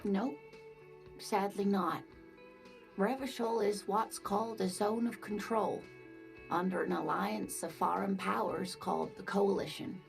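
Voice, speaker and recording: Creaky-voiced kindly old lady. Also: medium pitch, steady tone, a little slow, poor audio. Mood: concerned, regretful. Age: older. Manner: gentle, doting, hesitant, calls listener dear. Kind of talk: video game dialogue acting. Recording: soft game music behind.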